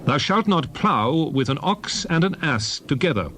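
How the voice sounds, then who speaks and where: A man giving a solemn, declarative, dramatized reading of King James scripture in measured phrases. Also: fairly high pitch, very animated, fairly bright tone, slight background noise. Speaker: Bible reader.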